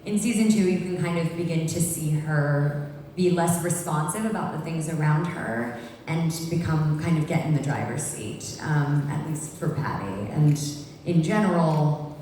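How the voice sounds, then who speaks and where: An actress answering at a press conference in lively, quick bursts. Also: female voice, low voice, a little hiss or hum. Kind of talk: panel answers at a press event. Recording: echoey hall, voice from far off.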